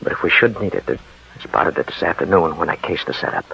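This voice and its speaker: Low-voiced detective, very raspy, hushed delivery, 1940s radio play, muffled recording. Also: male voice, quick pace, full character voice. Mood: guarded. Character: plain-spoken male narcotics detective, loyal partner. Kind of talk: 1940s radio drama acting.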